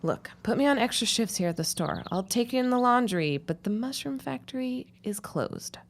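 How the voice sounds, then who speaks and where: An actress playing a wry, stubborn young shopkeeper's daughter with a sardonic, quick tongue in a period audio drama. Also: female voice, fairly high pitch, animated, vocal fry, noisy background. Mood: defensive, wry. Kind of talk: period radio-style audio drama acting.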